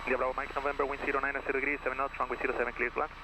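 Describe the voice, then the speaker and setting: A man's voice: medium pitch, level tone, very fast. A man working as an air traffic controller, giving standard instructions over aviation radio. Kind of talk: radio phraseology. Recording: noisy aviation radio transmission.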